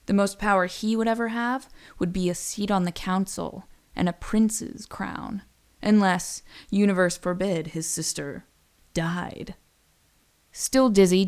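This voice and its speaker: Woman narrator, gravelly fry, wry, dramatic, scripted storytelling, music bed. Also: fairly low pitch.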